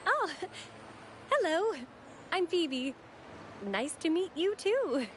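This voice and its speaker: A gentle, devout young woman of a holy order in a game. Her voice is very high and lilting, with courteous, formal phrasing over background music. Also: sing-song, very slow, heavily acted. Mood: friendly, cheerful. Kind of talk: video game voice acting.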